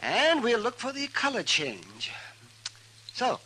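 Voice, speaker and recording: An actor playing a crusty, teasing frontier doctor who mutters and chuckles, in a 1950s radio western. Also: male voice, extremely high pitch, wildly swooping pitch, slow pace, exaggerated voice. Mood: muttering, absorbed. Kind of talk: 1950s radio drama acting. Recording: vintage 1950s radio recording, faint noise.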